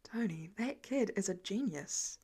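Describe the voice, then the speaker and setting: A mild-mannered man of science voiced by a woman, thoughtful and low-key. He explains things in a measured, quiet way, as in an audiobook. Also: expressive, breathy edge. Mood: quietly serious. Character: mild, thoughtful adult male research scientist.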